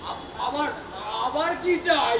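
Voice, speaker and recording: A man acts an old god who rules hell in a stage comedy. He is placating and wily, and he hesitates and stammers in a very high voice. The recording is noisy and full of room echo. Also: takes it slowly. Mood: nervous, placating. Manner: stammering, hesitant, repeats words.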